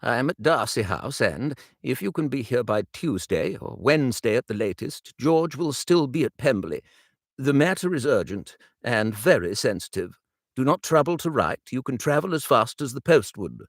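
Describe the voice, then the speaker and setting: A man's voice: mid-range voice, sing-song, moderate pace, dry recording, studio quality, pitched above the performer's own voice. A man reading as a formal older father. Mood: urgent. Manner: formal, urgent. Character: older gentleman father writing an urgent letter.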